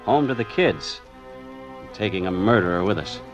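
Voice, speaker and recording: A man's voice: low voice, expressive, moderate pace. An actor playing a weary, anxious husband and father, narrating grimly in a folksy 1940s radio drama style. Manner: folksy, grim storytelling. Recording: dull vintage radio, music underneath.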